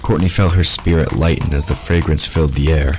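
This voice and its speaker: A man's voice: a bass voice, fairly even intonation, fairly quick, a little mellow, slight background noise. A man reading scripted fiction as the narrator of an audio drama.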